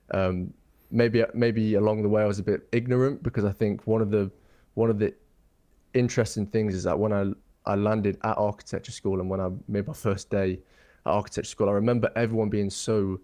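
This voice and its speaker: A young man from England with a fairly low voice, speaking as a guest on an interview show. He talks in a loose, thoughtful way, full of ums and false starts as he circles back on his words. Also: steady tone, normal speed, mellow. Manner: thoughtful, rambling, many ums and restarts. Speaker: guest architect. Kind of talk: casual interview chat.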